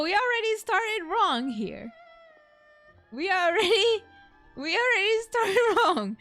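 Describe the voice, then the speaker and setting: Very high, animated woman gaming streamer. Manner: playful, reactive. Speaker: let's play streamer. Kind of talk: casual game reaction and reading dialogue aloud. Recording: game music behind.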